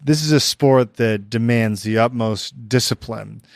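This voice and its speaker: A man hosting a podcast, asking measured, courteous interview questions. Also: mid-range voice, creaky, no echo, studio quality. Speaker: podcast host.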